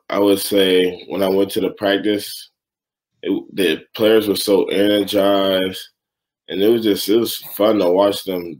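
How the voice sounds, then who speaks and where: A young man, a freshman basketball player, giving plain, soft-spoken interview answers. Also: low-pitched, poor audio. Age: young adult.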